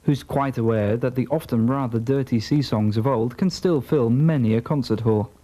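A man narrates a documentary from a script. His voice is on the darker, softer side and sits at a middling pitch, with slight background noise on the recording. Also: normal speed.

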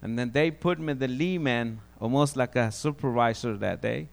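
A man from Guatemala with a Guatemalan Spanish accent, giving an informal testimony sermon, halting and repeating words as he goes. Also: mid-range voice, gravelly. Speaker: preacher.

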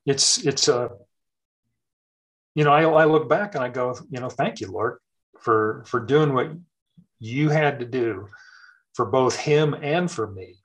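A man's voice: medium pitch, unhurried, pauses often, muffled recording. Reflective man talking faith, full of fillers. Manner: thoughtful, many fillers and restarts. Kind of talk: casual faith conversation.

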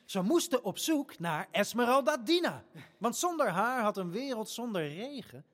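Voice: medium pitch, sing-song, normal speed, long reverb tail. An actor telling a family radio play and playing a determined, insistent child, with dramatic, emphatic delivery. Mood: suspenseful. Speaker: actor-narrator.

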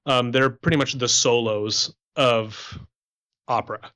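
A young man with a bright, forward voice talks as an interview guest. He is from Canada and explains things conversationally and sociably, at an average male pitch. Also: measured pace. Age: young adult. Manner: animated explaining, sociable extrovert. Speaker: interview guest, opera singer and musician.

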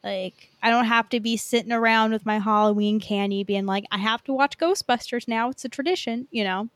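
A woman with a fairly high voice, chatting about films as a podcast co-host. Her talk is loose and informal, a bit sweary, and the sound is close and dry. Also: fairly quick, dry recording. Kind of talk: casual movie chat.

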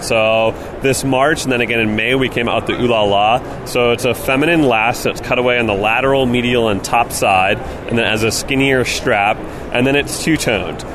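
A male company rep enthusiastically pitches his sandals in a salesy way. There is clear noise all around him on a busy trade-show floor. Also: brisk. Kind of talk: product pitch in conversation.

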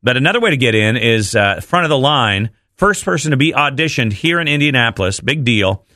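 Lively male morning radio host. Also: mid-range voice, brisk, no echo, studio quality. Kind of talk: casual morning show banter. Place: radio studio. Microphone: close studio microphone.

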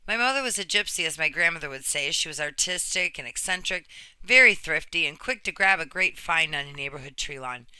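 A woman's voice: sits low, animated, fairly quick, pushed and bright, clear, resonant tone, dry recording. A woman reading a personal written story aloud in an earnest manner. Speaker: reader of another person's true story.

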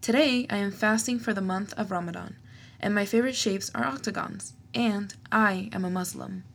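A woman playing a friendly math teacher in a storybook, matter-of-fact, with a husky, creaky voice. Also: medium pitch, moderate pace. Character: friendly ninth grade math teacher and beekeeper.

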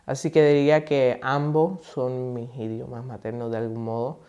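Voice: medium pitch, level tone, moderate pace, dark tone. A poet, speaking in a hesitant, thoughtful way with many fillers and restarts in an interview answer. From United States and Puerto Rico.

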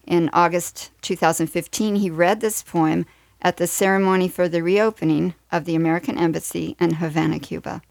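A woman hosts a radio program in a scripted presenting style. Her voice sits a little low for a woman. The sound is dry and close, with little room around it. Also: fairly even intonation, brisk, vocal fry, a little mellow, dry recording. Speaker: radio show host.

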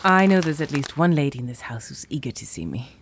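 A woman's voice: low-pitched, big pitch swings, brisk, a little hiss or hum. An actress playing a teasing, affectionate girlfriend in an audio drama. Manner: teasing, warm. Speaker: chocolatier girlfriend. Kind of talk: radio drama acting.

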